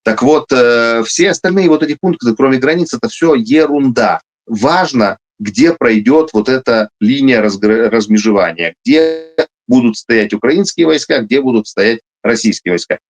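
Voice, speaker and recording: A man's voice: medium pitch, animated, moderate pace. A man who is a military officer, answering interview questions emphatically, with frequent hesitations and restarts. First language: Russian.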